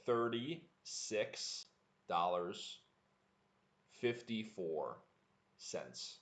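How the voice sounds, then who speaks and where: A man teaching English on a livestream, speaking deliberately and repeating words for learners. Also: deep voice. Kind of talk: live online language lesson, answering viewer chat. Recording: echoey room.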